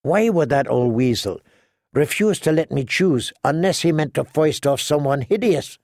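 A man's voice: fairly high pitch, animated, moderate pace, darkish tone, no echo, clean studio sound. A man voicing a cheerful, flippant young lord with a wry, bantering manner in an audiobook. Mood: perplexed, uncertain. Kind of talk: audiobook character dialogue.

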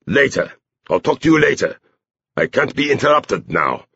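A man performs a brusque, busy man in a storybook tale. He is curt and dismissive, with a husky voice pushed upward, on slightly dull audio. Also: medium pitch, sing-song, moderate pace, fairly bright tone, no echo, voice pushed up, exaggerated voice. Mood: brusque, impatient.

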